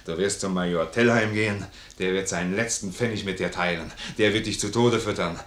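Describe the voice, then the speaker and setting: An actor playing a loyal, plain-spoken, stubbornly generous sergeant in a 1950s German radio play, hearty and earnest. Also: male voice, low-pitched, fairly even intonation, brisk, fairly bright tone. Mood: pleading, heartfelt. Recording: roomy vintage radio studio recording.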